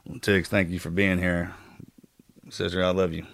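A middle-aged man, a former Navy SEAL co-hosting a podcast, introducing a guest earnestly with halting restarts. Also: low-pitched, flat delivery, gravelly, a bit bright, no room sound, studio quality.

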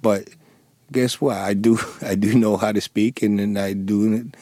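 A man in his forties from the Dominican Republic with a thick Dominican Spanish accent, a native Spanish speaker answering radio interview questions in a halting, earnest way with many restarts. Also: fairly low pitch, steady tone, warm tone, no echo. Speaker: interview guest, former police sergeant.

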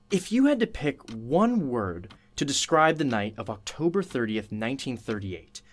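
A man playing a chatty, courteous radio show host interviewing a witness in a radio play, effusive and apologetic. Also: mid-range voice. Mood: curious, courteous.

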